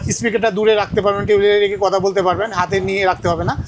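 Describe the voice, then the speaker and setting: A man's voice: high voice, level tone, fast, a crowd in the background. A man with a Bangladeshi accent demonstrating products informally as a shop seller, often repeating his phrases and prices. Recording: clearly noisy audio with clicks.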